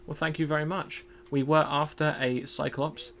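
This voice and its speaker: A man streaming a game in casual commentary. He is chatty and self-deprecating, thinks aloud, and has a loud voice. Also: on the high side, little pitch movement, normal speed, vocal fry, a little hiss or hum.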